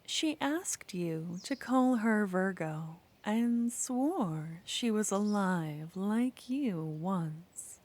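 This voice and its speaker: A woman narrating an ASMR roleplay story in a soft-spoken, gently whispering manner. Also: mid-range voice, expressive, very slow, few pauses.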